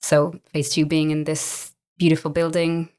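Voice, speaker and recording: An Irish woman in her thirties, a business owner chatting as an interview guest, relaxed and full of little fillers and restarts. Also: low voice, a little slow. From Ireland. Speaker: guest, co-owner of a coworking space. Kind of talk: relaxed conversational storytelling.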